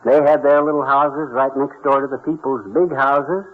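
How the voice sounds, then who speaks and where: A man's voice: mid-range voice, flat delivery, moderate pace, natural voice. A man playing a folksy, blustery know-it-all husband in an old radio comedy, explaining things in homespun, ungrammatical talk. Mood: storytelling. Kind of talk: 1940s-50s radio comedy acting. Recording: dull old radio recording, faint noise.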